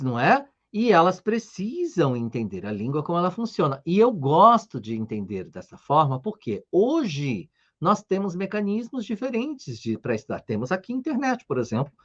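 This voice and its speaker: A middle-aged Brazilian man teaches language with a lively, swinging voice that rides fairly high, and he keeps tossing rhetorical questions to his viewers. The sound is a little dull and lo-fi. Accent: Brazilian Portuguese. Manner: explanatory, rhetorical questions, frequent "né?". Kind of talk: talking-head language lesson.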